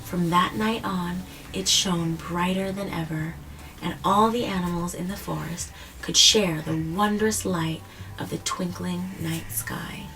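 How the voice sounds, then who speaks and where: A woman hosting a children's read-aloud, explaining things simply and gently to a child and often checking in with questions. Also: on the low side, steady tone, measured pace. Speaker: host of a children's story read-aloud show. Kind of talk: picture-book reading and simple explanations to a child. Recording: distant mic, faint background noise.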